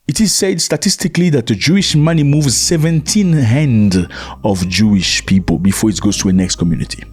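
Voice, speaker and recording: A man's voice: a little high, darkish tone, breathy edge. A man giving an emphatic commentary monologue, repeating his points and acting out the voices he quotes.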